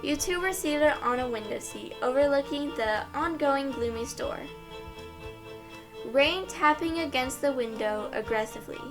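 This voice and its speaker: Young female narrator, higher voice, expressive fan fiction storytelling with clear music underneath. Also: unhurried. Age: young adult. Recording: music behind the voice.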